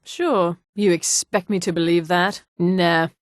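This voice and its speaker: An actress playing a proud, haughty high elf woman in a fantasy video game, speaking with crisp, condescending articulation. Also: fairly even intonation, slow pace, no room sound, clean studio sound. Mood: curt, wary. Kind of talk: scripted video game voice lines.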